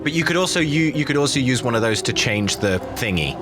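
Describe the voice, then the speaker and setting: A man's voice: medium pitch, lively intonation, quick pace, over music. A man playing a tabletop role-playing game, chatting casually in and out of character.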